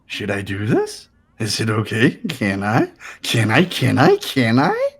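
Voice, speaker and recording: Mischievous robot bear, childish, theatrical teasing, slow drawn-out delivery, rough rasp, game character. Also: male voice, fairly low pitch, wildly swooping pitch, full character voice. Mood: gleeful teasing. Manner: theatrical, sing-song, teasing. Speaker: amateur voice actor. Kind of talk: fan voice-over of a visual novel game.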